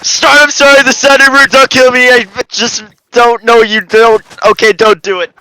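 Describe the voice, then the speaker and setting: A man's voice: very high voice, flat delivery, moderate pace, pushed and bright, smooth, no echo, pitched above the performer's own voice, poor audio. A man voicing a lovestruck, panicky fan-fiction hero in melodramatic, stammering outbursts. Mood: panicked pleading. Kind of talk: mock-dramatic fan fiction reading.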